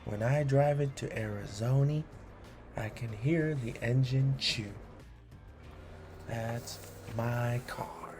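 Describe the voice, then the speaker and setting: A man reading children's rhymes aloud expressively and deliberately, adding encouraging asides to the listener. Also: mid-range voice, a little mellow, soft background music. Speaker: read-along host.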